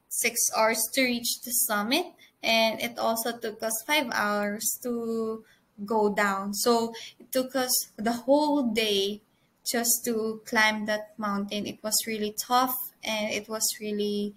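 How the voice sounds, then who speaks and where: A young woman, giving a prepared student presentation in an earnest, measured way with occasional restarts. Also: a little high, a bit bright. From Philippines. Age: 20s.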